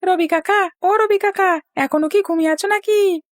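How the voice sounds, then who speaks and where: A woman's voice: extremely high pitch, moderate pace, no echo, studio quality. A woman voicing a cheeky little village boy in a cartoon, insistent and complaining. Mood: calling. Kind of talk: Bengali cartoon dialogue.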